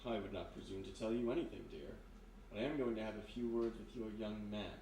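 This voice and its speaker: Sinister male hypnotist played by a man for radio mystery: lowish, calmly menacing, polite, deliberate; roomy, distant stage recording. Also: natural voice. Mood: calm, confiding. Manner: measured, insinuating, polite, deliberate. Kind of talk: live 1940s-style radio mystery acting. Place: live performance in a historic house room. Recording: roomy distant live recording, background noise.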